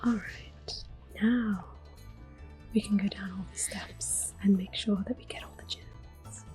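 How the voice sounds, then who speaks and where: A woman giving gentle, hushed, unhurried ASMR commentary on a video game, soft-spoken and often whispering. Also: medium pitch, expressive. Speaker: gameplay video host. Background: game music.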